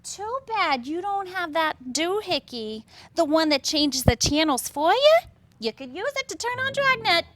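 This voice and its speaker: A woman's voice: very high-pitched, big pitch swings, unhurried, fairly bright tone, no echo, heavily acted. A woman playing a teasing, loving 1950s housewife with dry sass in a staged play reading. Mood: playful sarcasm.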